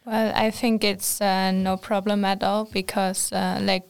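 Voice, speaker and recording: A woman in a casual group chat, answering in a calm, matter-of-fact way. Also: mid-range voice, a little slow, vocal fry, smooth.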